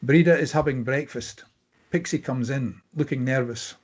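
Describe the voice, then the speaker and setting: Husky male script narrator. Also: medium pitch, normal speed. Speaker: narrator reading stage directions. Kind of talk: scripted narration at a table read.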